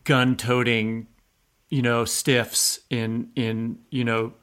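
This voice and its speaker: A man's voice: medium pitch, flat delivery, slow, a bit bright, clean tone. A man co-hosting a podcast, talking casually about books and thinking aloud as he makes his case. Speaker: podcast co-host. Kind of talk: casual literary discussion.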